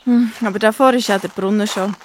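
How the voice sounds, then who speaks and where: A woman's voice: medium pitch, steady tone, fairly quick, slight background noise, natural voice. A woman playing a young biblical woman in a children's audio drama, speaking expressively with a Swiss German accent. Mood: weary, listless. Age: young adult.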